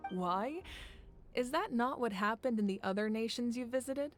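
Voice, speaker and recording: A woman voicing a composed, resolute female ruler in an anime video game, speaking in a measured, formal, authoritative way. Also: fairly high pitch, soft background music, close to an everyday voice. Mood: lightly amused.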